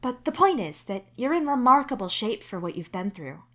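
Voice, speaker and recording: Kindly alien doctor played by a woman, measured, professional, gently cautioning audio-story character. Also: a little high, very animated, pitched above the performer's own voice, heavily acted.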